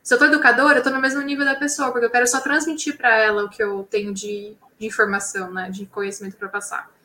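A woman with a bright, fairly high voice talks about her science channel in a lively, slangy way. Her words ring around an echoey room. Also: fast talker, slight background noise. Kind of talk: casual interview talk.

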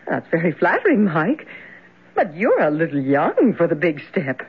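A woman playing a genteel, spirited young lady, uneasy but firm, in expressive old-time radio drama style. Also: lowish voice, a little slow, husky, heavily acted. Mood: dismissive, firm. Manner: expressive, theatrical. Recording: muffled lo-fi old radio broadcast.

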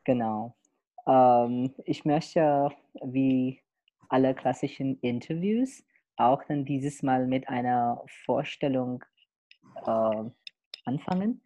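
A young man hosting a podcast, opening with a soft spoken introduction and then chatting with many fillers. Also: medium pitch, lo-fi sound. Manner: soft, slow intro over music, then chatty and halting. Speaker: podcast host. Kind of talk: podcast interview with narrated intro.

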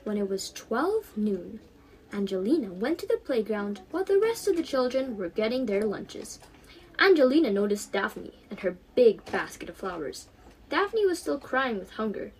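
A teenage young woman reading her own story aloud in an animated storybook style. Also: on the high side, moderate pace, slight background noise, rough recording.

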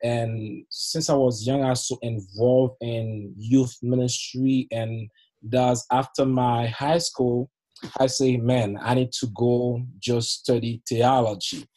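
A man's voice: medium pitch, steady tone, takes it slowly, mellow, rough recording. Haitian-accented man telling his story. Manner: earnest, laughs often, repeats words while searching. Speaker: youth pastor guest. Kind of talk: podcast interview, personal story.

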